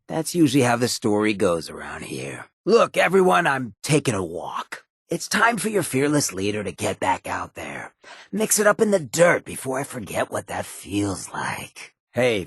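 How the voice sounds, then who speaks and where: Roguish ghoul mayor, very raspy, low-pitched, streetwise drawl, swaggering, video game companion. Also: male voice, dry recording, studio quality, exaggerated voice. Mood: proud, rousing. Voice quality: gravelly, raspy. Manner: streetwise, sly drawl with folksy slang. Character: charismatic irradiated ghoul mayor, roguish, swaggering outlaw leader.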